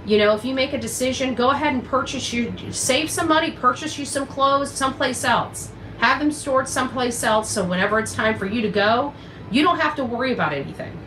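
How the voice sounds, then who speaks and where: A woman's voice: high-pitched, a little fast, fairly bright tone. A woman speaking off the cuff as an online commentator, in an emphatic, repetitive, confrontational manner. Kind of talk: unscripted rant and advice to camera. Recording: roomy echo with faint noise.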